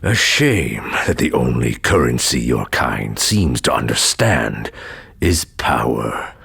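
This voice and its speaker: Gravelly, extremely deep crime boss. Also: male voice, sing-song, a bit bright, exaggerated voice. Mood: smug menace. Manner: slow, deliberate, grandly condescending. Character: menacing male crime boss, huge, smug, calculating. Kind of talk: video game cutscene acting. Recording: music behind the voice.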